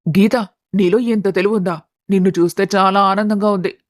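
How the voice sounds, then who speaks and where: A woman's voice: medium pitch, steady tone, normal speed, no room sound, studio quality, lightly acted. A woman voicing a scheming, greedy middle-aged aunt who talks insistently in a family story. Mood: praising. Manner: insistent, calculating.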